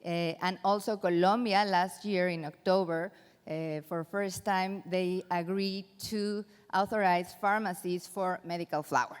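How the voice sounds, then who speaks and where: A woman with a Mexican accent, an international attorney speaking on a conference panel in a measured way, with hesitant fillers. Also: lowish voice, steady tone, clean tone. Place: large conference hall. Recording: conference hall reverb.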